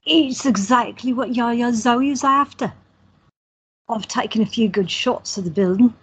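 A woman playing a quiet, teasing photographer in her twenties, trading dry banter with her brother. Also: on the high side, measured pace, slightly rough. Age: 20s. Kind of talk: amateur audio drama acting. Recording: dull lo-fi audio.

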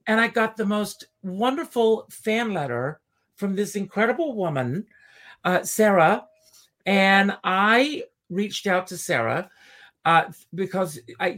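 A man's voice: high-pitched, a bit bright. A man hosting a talk show, enthusiastically introducing and welcoming his guest. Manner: effusive, enthusiastic. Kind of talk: casual interview chat.

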